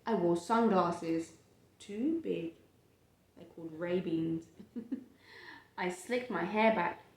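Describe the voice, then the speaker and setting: Woman, storytime read-aloud, unhurried pace, expressive stretched-out phrases, roomy home recording. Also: lowish voice. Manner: expressive, drawn-out emphasis on phrases. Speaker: parent reading aloud to a child. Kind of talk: picture book read-aloud with chat.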